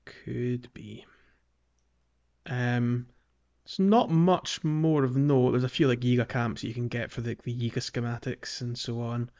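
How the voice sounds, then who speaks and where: A man co-hosting a podcast, chatting casually about a video game and filling pauses with ums. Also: mid-range voice, lo-fi sound. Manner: relaxed, many ums, walks through game areas. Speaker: podcast co-host.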